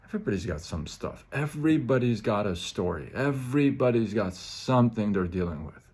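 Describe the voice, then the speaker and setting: A man with a somewhat low voice talks straight to his livestream viewers, driving his points home with emphatic repetition. He stutters now and then but pushes on in a motivational tone. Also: expressive, brisk, darkish tone, breathy edge. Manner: emphatic, repeats phrases, greets viewers by name; stutters at times. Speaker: live show host. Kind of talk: motivational live talk.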